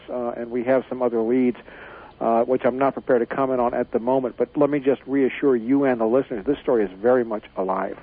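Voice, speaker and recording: Man talking on a tinny phone line. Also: mid-range voice. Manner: confident, rambling with fillers. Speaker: guest, newsletter editor. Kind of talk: radio interview.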